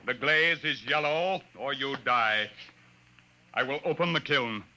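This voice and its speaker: A man's voice: medium pitch, measured pace, natural voice. A man playing a proud, menacing alien chief in a solemn, formal, declamatory 1950s radio drama style. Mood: ceremonial, grave. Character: menacing alien chief potter, proud, scornful, ritual leader. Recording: noisy vintage 1950s radio recording.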